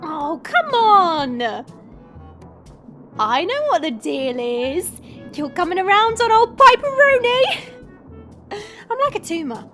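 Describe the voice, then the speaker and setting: A woman's voice: very high-pitched, very animated, slow, long pauses, bright, forceful tone, soft background music, exaggerated voice. A woman playing a cheeky, chatty young monster girl in an audio roleplay, playful, teasing and theatrical. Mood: whiny, playful.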